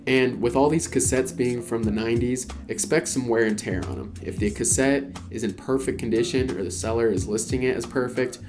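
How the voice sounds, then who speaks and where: A man explaining collectibles in a casual, conversational vlog, with frequent "you know" and "kinda". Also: lowish voice. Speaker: collector vlogger. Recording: clear background music under voice.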